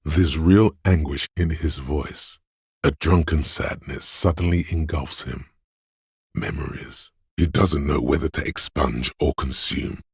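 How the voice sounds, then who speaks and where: A man's voice: unhurried, no room sound, studio quality, exaggerated voice. A man voicing a video game's inner voice of pain, a grim, sardonic, goading presence narrating with brooding deliberation. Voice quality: deep, gravelly, husky. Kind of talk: dramatic second-person game narration.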